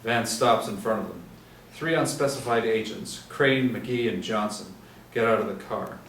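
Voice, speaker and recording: A man's voice: lowish voice, normal speed, fairly bright tone. A man reading out scripted screenplay action and stage directions as the narrator. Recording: echoey room, distant mic, faint noise.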